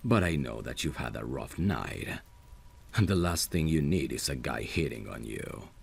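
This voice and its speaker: A man playing a protective, lovesick friend in a romantic ASMR roleplay, speaking tenderly and intimately in a husky, gravelly voice. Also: rumbling low, exaggerated voice. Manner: tender, soothing, unhurried. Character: protective lovesick male friend, gentle and devoted.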